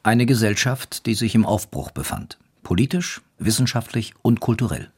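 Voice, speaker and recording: A man narrating a scripted radio documentary in a husky voice. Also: lowish voice, lively intonation, normal speed, darkish tone.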